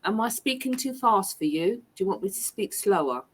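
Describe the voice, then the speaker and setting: A woman's voice: mid-range voice, lively intonation, fairly quick, breathy edge. A woman from England, tutoring a learner one to one and repeating and rephrasing her questions patiently. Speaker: English tutor. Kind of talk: online one-to-one English lesson.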